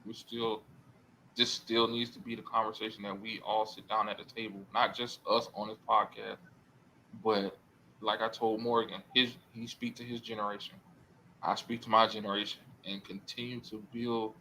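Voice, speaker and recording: Earnest rambling man, bright tone. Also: lowish voice, level tone, normal speed, slight background noise, rough recording. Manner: rambling, self-correcting, earnest. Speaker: podcast guest. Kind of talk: casual podcast chat.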